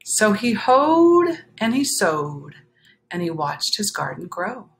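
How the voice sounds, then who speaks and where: A woman reading a children's picture book aloud, expressive, with chatty explanatory asides to young listeners. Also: medium pitch, takes it slowly, vocal fry.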